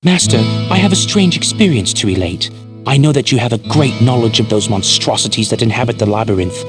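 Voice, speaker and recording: A man's voice: mid-range voice, natural voice. A man playing a polite, puzzled innkeeper in a fantasy video game, deferential and old-fashioned in phrasing. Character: polite, puzzled male innkeeper, anxious and chatty. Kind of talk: video game character acting.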